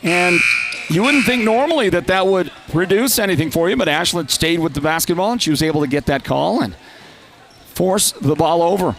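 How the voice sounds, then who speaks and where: A man's voice: high voice, animated, moderate pace, a little hiss or hum. Congested nasal man calling basketball. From Northern Arizona. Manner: chatty, rises to loud calls on big plays. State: congested from a lingering cold. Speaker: play-by-play announcer. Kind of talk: live basketball commentary.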